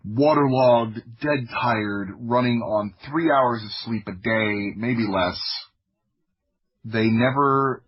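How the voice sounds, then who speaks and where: A man from the United States telling personal stories casually as a podcast guest, in an informal, humorous way with a husky voice. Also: mid-range voice, slow, lo-fi sound. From United States (Florida). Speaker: podcast guest, audio drama creator.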